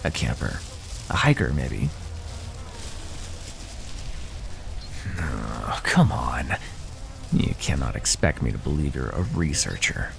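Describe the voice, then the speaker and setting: A man's voice: a bass voice, creaky, heavily acted. A man voicing a smug, predatory naga who teases and threatens with mocking condescension in an ASMR fantasy roleplay. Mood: smug, threatening. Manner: mocking, condescending, drawling pet names. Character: smug predatory male naga, teasing and menacing.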